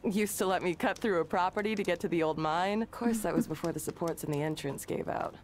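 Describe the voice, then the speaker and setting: An actress playing a friendly, cheerful, welcoming village blacksmith in a video game. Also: female voice, lowish voice, fast, a little hiss or hum, close to an everyday voice. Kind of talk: video game character acting.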